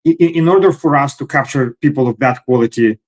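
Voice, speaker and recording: A man with a medium-pitched voice hosts a discussion and reasons his way through a point. He pauses often with "uh" and repeats words as he builds his argument. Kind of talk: conversational discussion.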